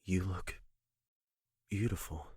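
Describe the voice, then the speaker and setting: Casting: man playing a guilt-ridden lover in audio drama, deep and husky, halting, apologetic. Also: steady tone, darkish tone, no room sound, studio quality, full character voice. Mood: guarded, evasive.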